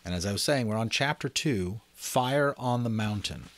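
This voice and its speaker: Expressive male amateur narrator, lively intonation. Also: on the low side, moderate pace, no room sound. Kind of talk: expressive audiobook-style reading with casual asides.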